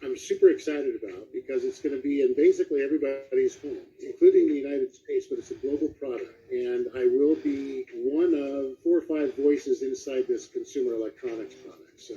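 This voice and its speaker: Middle aged guy, super mellow dark voice, casual interview stories, dry humor, kinda muffled lo-fi audio. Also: mid-range voice, brisk, hardly any pauses. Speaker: guest voice actor.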